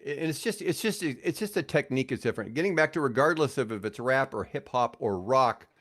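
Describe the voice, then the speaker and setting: Male, sixties, American, average pitch. Podcast guest and radio type, opinionated, loose conversational storytelling. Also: expressive, a little fast, a bit bright. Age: 60s. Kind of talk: casual chat, rambling storytelling.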